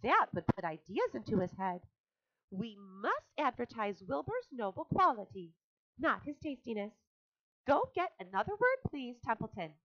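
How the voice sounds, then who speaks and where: Gentle wise spider lady, woman doing the voice, high and animated, polite, muffled lo-fi sound. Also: moderate pace, no room sound, full character voice. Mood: urging, warm. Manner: bright, lively, coaxing and polite. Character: kind wise female barn spider, gently commanding. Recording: dull lo-fi audiobook recording.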